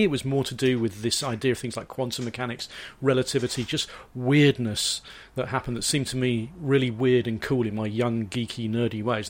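A man in his fifties co-hosting a podcast, chatting enthusiastically with quick wit. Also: mid-range voice. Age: 50s. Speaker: podcast co-host and physics teacher. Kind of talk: casual chat. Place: garden summer house.

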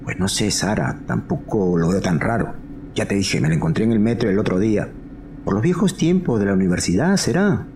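Actor plays easygoing football-loving guy, Castilian accent, lowish pitch with lively swings, conciliatory, audio drama. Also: fairly quick, close to an everyday voice. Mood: casual. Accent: Castilian Spanish. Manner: casual, conciliatory. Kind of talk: live-recorded Spanish audio fiction. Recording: noticeable background noise, live recording.

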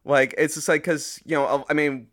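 A man's voice: fairly high pitch, fairly even intonation, moderate pace, fairly bright tone. A man chatting casually as a podcast guest, effusive and rambling, often repeating words as he searches for phrasing. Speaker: podcast guest, audio drama creator. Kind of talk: casual, enthusiastic interview chat.

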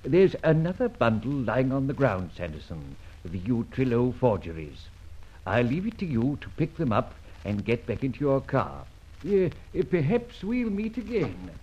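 An actor playing a suave, mocking masked gentleman adventurer in an old radio serial, polished and drily ironic, with courteous menace. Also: mid-range voice, sing-song, moderate pace, husky. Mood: cool, wry. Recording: muffled old radio drama recording.

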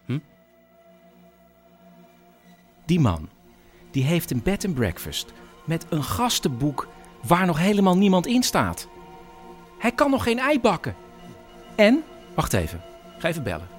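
A suspicious, insistent adult daughter in a radio drama. She is emphatic and bossy, with very lively intonation over faint music. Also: lowish voice, normal speed. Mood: indignant. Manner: emphatic, interrupting.

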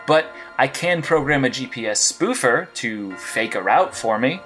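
Brief: male actor as a persistent licensed hero detective in an audio drama, crisp bright edge. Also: medium pitch, lively intonation, measured pace, faint music behind. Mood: probing. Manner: probing, persistent questioning.

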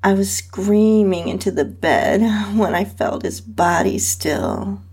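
A woman host reading a first-person erotic story in an intimate, sensual, drawn-out and breathy way. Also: mid-range voice. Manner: breathy, sensual, drawn-out delivery with soft moans. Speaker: podcast host and narrator reading own story.

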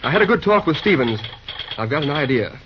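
A highish-voiced, breezy railroad boss. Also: male voice, sing-song. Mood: brisk, confident. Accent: American. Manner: brisk, breezy, informal. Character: hearty, busy young railroad superintendent. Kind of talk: early American radio drama acting. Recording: muffled, noisy old radio recording.

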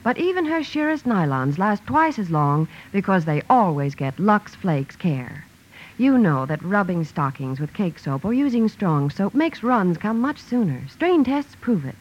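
A woman reading a scripted 1950s radio advertisement with brisk, persuasive sales delivery. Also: medium pitch, sing-song. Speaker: commercial announcer. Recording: dull lo-fi vintage radio.

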